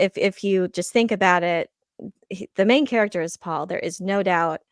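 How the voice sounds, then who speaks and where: A woman with a slightly low voice discusses things casually as a podcast panelist. She explains in a halting way, restarting phrases, in a dry sound with little room echo. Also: smooth.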